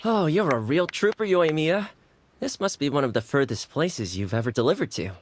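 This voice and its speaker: A man's voice: a little high, expressive, moderate pace, slight background noise, lightly acted. A man playing a friendly, considerate young man in a video game, easygoing and conversational. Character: friendly, considerate young man, well-connected old pal.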